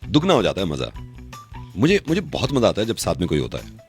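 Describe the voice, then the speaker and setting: A man's voice: low-pitched, wildly swooping pitch, quick pace, faint music behind. A man hosting a radio prank show, giving a playful, casual introduction.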